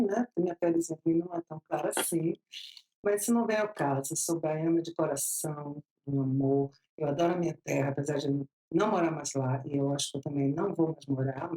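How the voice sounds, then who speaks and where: A woman's voice: deep voice, mellow, poor audio. A woman in her fifties from Bahia, Brazil, with a Bahian accent, telling her story as an interview guest, chatty and laughing often. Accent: Bahian Brazilian Portuguese. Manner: chatty, laughs often, drawn-out slow phrases.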